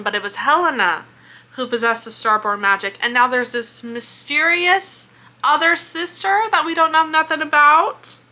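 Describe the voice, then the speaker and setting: A young woman with a high, bright voice talks unhurriedly through a book, thinking out loud. She is animated and exasperated and swears freely. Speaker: book reviewer reading along. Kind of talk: casual chat, thinking aloud.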